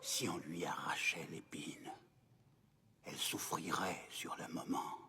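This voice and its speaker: A man voicing a wise old grandfather in an animated film, kindly and solemn, explaining patiently in a husky, breathy voice. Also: a bass voice, slow, whispered, heavily acted. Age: older.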